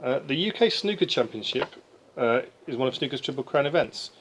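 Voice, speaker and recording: Guy hosting a quiz, rattles off questions fast, plain matter of fact, bit of noise behind. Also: mid-range voice, expressive. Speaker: quizmaster. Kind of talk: reading pub-style quiz questions aloud, adjudicating. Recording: slightly noisy quiz room recording.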